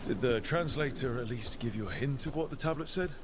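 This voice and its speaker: A man's voice: medium pitch, animated, brisk, noisy background, close to an everyday voice. A man playing an easygoing, considerate warrior hero in a video game, talking in a conversational, inquisitive way. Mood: casual, concerned.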